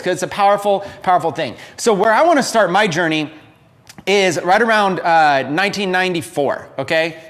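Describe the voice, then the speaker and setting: A man in his late thirties giving a candid, unscripted motivational talk, openly confessing his past to viewers. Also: sits high. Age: 30s. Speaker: entrepreneur and coach.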